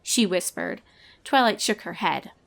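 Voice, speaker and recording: A woman's voice: on the low side, big pitch swings, a little slow, vocal fry, fairly bright tone. A woman reading scripted comic fiction as a storyteller, narrating the action between lines of dialogue.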